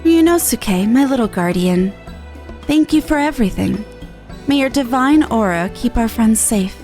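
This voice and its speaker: Woman voicing a gracious, higher-voiced fantasy game envoy. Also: expressive, moderate pace, darkish tone. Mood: tender. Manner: courteous, archaic formal phrasing. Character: gracious, formal festival envoy from the Far East. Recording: game music behind the voice.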